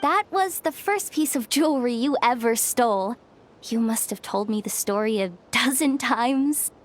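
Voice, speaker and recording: A woman's voice: fairly high pitch, moderate pace, close to an everyday voice. An actress playing a loyal, kind-hearted, streetwise young woman in a video game, speaking in an earnest, plucky, slightly guarded way. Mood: wistful. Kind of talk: dramatic video game voice acting.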